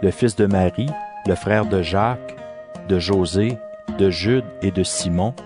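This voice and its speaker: Deep male voice, man playing dismissive villager, skeptical, audio Bible drama, plucked music behind. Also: a little slow.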